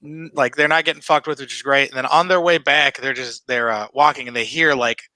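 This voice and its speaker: A man's voice: medium pitch, steady tone, fairly quick, sharp tone, no room sound, rough recording. An American man telling a family story in a casual chat, rambling with lots of "you know what I mean.". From United States.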